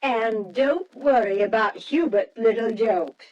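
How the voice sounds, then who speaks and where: An actress in an old-time radio drama playing a bossy, chattering professor's wife, imperious and theatrically emphatic. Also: female voice, fairly high pitch, slow, darkish tone, muffled recording. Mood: sociable, domineering.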